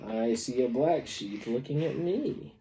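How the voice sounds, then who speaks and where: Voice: mid-range voice, lively intonation, unhurried, dark tone, a little hiss or hum, low-quality recording. An adult reading a picture book aloud to a young child, playful and sing-song, with exaggerated delivery. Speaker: parent-like storybook reader leading a child.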